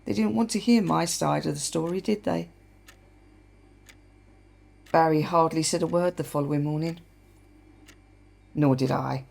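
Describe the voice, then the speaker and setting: A woman playing a guilt-ridden widow in a radio play monologue, halting, reflective and bitter. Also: sits low, creaky, darkish tone, exaggerated voice. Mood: subdued, resigned. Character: guilt-ridden widow confiding in her dead husband.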